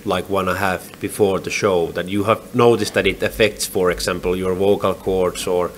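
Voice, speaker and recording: A man interviewing a singer, asking his questions in a halting way full of hedges. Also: low-pitched, fairly even intonation, slight background noise. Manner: halting, hedging questions with many "like"s.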